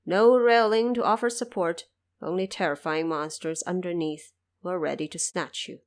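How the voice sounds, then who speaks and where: A woman's voice: lowish voice, fairly even intonation, natural voice. A woman playing a solemn male judge of the underworld in an audio drama, courteous, formal and commanding. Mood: grave warning. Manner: formal, measured, grave.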